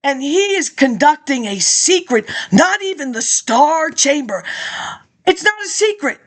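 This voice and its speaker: A woman's voice: sits high, sing-song, a little slow. A middle-aged woman rambling through a personal monologue, indignant and laughing often as she jumps from topic to topic.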